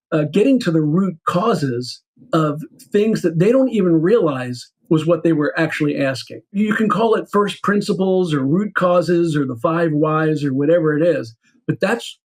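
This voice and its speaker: A man's voice: fairly high pitch, normal speed, a little mellow, breathy edge. A man giving firm, definition-like answers in an interview. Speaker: guest.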